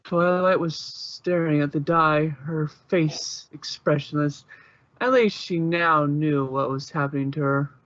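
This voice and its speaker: A man's voice: fairly high pitch, measured pace, fairly bright tone, no room sound, highs cut off. A man giving an informal narration of a fanfiction story.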